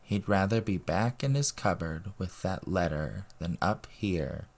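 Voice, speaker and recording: A man's voice: deep voice, animated, a little slow. A man reading a story aloud as narrator, in scripted audiobook style. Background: music and ambience.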